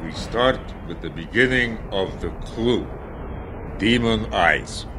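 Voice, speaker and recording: A man playing Nikolai, a calm and formal-speaking man who avoids contractions. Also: lowish voice, slow, a little hiss or hum. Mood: steady. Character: calm, stiff-spoken man named Nikolai. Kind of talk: amateur audio drama.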